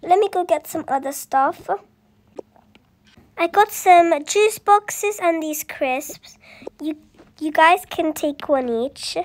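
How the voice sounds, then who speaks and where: The voice is very high and childlike, with a slow, unhurried delivery. It speaks softly, acting out everyday family dialogue for an improvised children's roleplay story. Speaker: voiced roleplay video creator.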